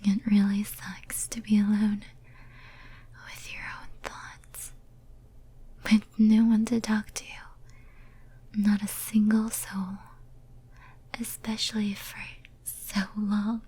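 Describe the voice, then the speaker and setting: A woman playing a lonely, obsessive female demon in a whispered ASMR roleplay, speaking softly and breathily in a tender, intimate, hesitant way. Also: mid-range voice, flat delivery, slow pace, pauses often, breathy edge. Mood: lonely, confessional.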